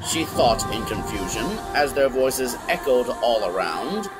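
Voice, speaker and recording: A man's voice: medium pitch, lively intonation, unhurried, low-quality recording. A man narrating a scripted story as an audiobook. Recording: music behind the voice. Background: background music.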